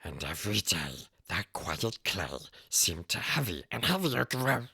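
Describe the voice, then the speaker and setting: A man performs a small, wretched creature with a gravelly, croaking rasp. The voice swings wildly from low mutters to thin whines, twitchy and strained, as it slowly recites like a dark storybook tale. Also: big pitch swings, no room sound, clean studio sound, full character voice. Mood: haunted, brooding. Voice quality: raspy, croaky, strained throat. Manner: talks to self in plural, erratic swoops. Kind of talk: dramatic poem recitation in character.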